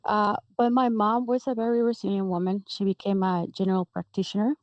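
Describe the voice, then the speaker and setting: A woman's voice: mid-range voice, little pitch movement, brisk, no echo. A young woman from Guatemala, a guest in an interview, telling her story fluently. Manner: warm laughs, fluent storytelling. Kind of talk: interview answers. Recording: dull, dry sound.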